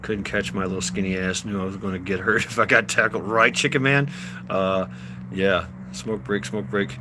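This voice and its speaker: A man's voice: on the low side, fairly even intonation, moderate pace, background noise. A middle-aged American man hosting a casual livestream, rambling through stories with swearing and chuckles. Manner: sweary, laughing, meandering storytelling. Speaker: livestream host.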